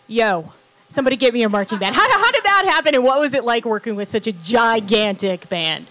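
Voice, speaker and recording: A woman's voice: fairly high pitch, very animated, brisk, a bit bright. A woman hosting a live interview in an informal, playful, slangy way. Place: live event stage at a theater.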